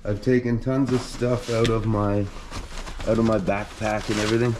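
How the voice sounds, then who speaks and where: A man filming himself as he explores a flooded basement in an abandoned house, talking in a low, hushed voice while crouching and climbing over clutter. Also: little pitch movement, moderate pace, very noisy. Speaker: urban explorer filming a tour. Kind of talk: hushed exploration vlog narration.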